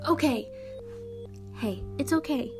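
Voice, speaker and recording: An actress playing a friendly, concerned small-town shopkeeper, casual and caring, in a horror audio drama. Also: female voice, sits high, takes it slowly, over music. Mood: concerned, soothing.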